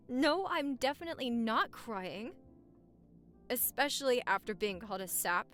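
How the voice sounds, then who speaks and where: A woman's voice: on the high side, expressive, measured pace, a bit bright, faint music behind. A woman acting a playful, lovestruck newlywed wife in a romantic audio roleplay, teasing and flirting in intimate, easily flustered banter. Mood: mock offended.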